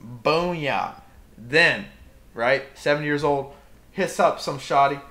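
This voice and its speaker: A young man co-hosts a laid-back podcast and talks in a bright, cutting tone. He gets worked up as he goes, rambling through his point and then punching it home with sudden emphatic bursts. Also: a little high, slow pace. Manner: impassioned ranting with emphatic outbursts. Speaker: podcast co-host. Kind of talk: casual podcast rant.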